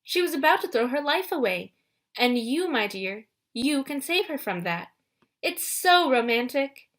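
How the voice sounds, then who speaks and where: A woman's voice: sits high, big pitch swings, unhurried, a bit bright, pitched above the performer's own voice, heavily acted. A woman playing a gushing, vain love goddess.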